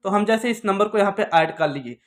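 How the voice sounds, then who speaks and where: High-pitched man giving instructions. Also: expressive, fairly quick. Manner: repetitive, instructional phrasing. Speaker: tech tutorial presenter. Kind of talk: step-by-step phone tutorial narration.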